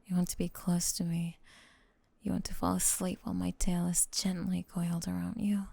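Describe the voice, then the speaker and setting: A woman's voice: on the low side, level tone, moderate pace, creaky, warm tone, breathy edge, no room sound, full character voice. A woman voicing a doting, possessive snake-girl girlfriend with sweet menace, in an intimate, coaxing ASMR roleplay that often drops to a whisper. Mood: coaxing, sweetly menacing.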